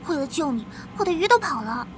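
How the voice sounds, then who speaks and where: A woman playing a devoted young noblewoman in an animated court romance. Her voice is extremely high, earnest and emotional, with clear noise behind it. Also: fast. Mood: scolding, urgent. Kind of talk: dramatic period animation dubbing.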